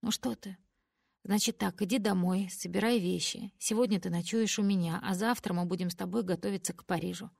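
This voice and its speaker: A woman voicing a kind, calm grandmother in her sixties who speaks softly and soothingly, in a dry, close recording. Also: medium pitch, brisk, vocal fry, no echo. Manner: soft, soothing, gentle.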